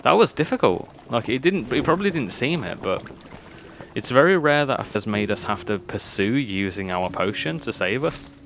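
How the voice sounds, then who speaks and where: A man's voice: medium pitch, expressive, moderate pace, fairly bright tone, a little hiss or hum. A young man from Birmingham with a mild Birmingham accent, chatting self-consciously over gameplay with nervous laughs and many fillers. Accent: mild Birmingham English. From Birmingham, England. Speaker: gaming YouTuber. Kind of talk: casual gameplay commentary.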